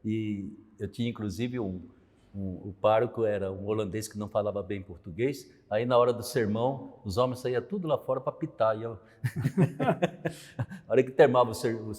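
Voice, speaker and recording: An older man from Brazil chats as a priest, unhurried and reflective. He leans on small tag questions as he tells memories, and his voice rings through a long reverberant hall. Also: fairly low pitch, a little mellow. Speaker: priest and show host. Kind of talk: conversational religious talk.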